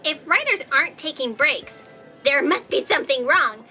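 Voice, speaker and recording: A woman's voice: high-pitched, lively intonation, moderate pace, bright, forceful tone, soft background music. A woman playing a frantic, bossy character with an urgent, exasperated manner in a comic sci-fi audio drama. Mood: worried, indignant. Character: frantic, bossy, self-important woman fretting over writers.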